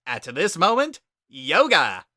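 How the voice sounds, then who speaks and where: Story reading: proud younger-brother skeleton, exuberant and emphatic, pitched high and very loud. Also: male voice, huge pitch swings, slow, dry recording, studio quality, exaggerated voice. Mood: proud, excited. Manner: emphatic, declamatory, earnest. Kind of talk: dramatic reading of a fan story.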